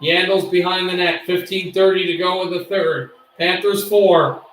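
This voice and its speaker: A man doing amateur play-by-play of a hockey game, excitable and bursting into shouts on shots, his voice getting worn. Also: high-pitched, moderate pace, very echoey, recorded from a distance, a little hiss or hum, low-quality recording. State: losing his voice. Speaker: play-by-play streamer.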